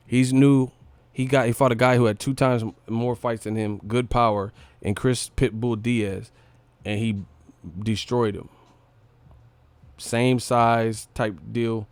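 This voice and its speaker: A man's voice: mid-range voice, darkish tone, clean tone, no echo. A man hosting a casual livestream fight breakdown, blunt and opinionated, with slangy talk and plenty of swearing. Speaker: livestream host, boxing commentator.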